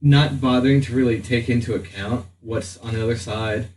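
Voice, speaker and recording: A man improvising narration as the game master of a tabletop game, often pausing mid-sentence. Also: mid-range voice, fairly even intonation, a little mellow. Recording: noisy room, distant mic.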